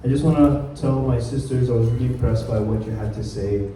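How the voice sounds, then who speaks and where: A man's voice: medium pitch, brisk, mellow, distant mic, slight background noise. Earnest man speaking in an echoey hall. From Kenya. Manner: earnest, emphatic, with frequent fillers and restarts. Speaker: community panelist. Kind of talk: public speech at a summit panel. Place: large conference hall.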